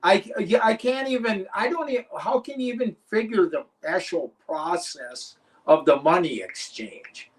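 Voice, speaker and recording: A man's voice: high-pitched, expressive, measured pace, poor audio. An older man and former wrestler, telling rambling, profane stories as an interview guest. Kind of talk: casual interview chat.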